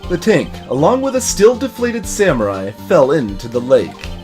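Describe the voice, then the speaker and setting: A let's play host voicing a level-headed young man, a defense lawyer with a measured, wry manner. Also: mid-range voice, huge pitch swings, a little mellow, faint music behind. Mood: explanatory.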